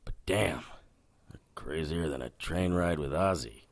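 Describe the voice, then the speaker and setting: A man's voice: a bass voice, unhurried, exaggerated voice. An actor playing a weary, hard-drinking, sardonic male investigator in a noir audio drama. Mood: drunken bitterness.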